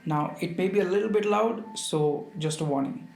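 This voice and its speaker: A man's voice: high voice, normal speed, creaky, darkish tone. A man hosting a video essay, narrating in a conversational storytelling way and addressing the viewer directly. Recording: roomy voice with background music.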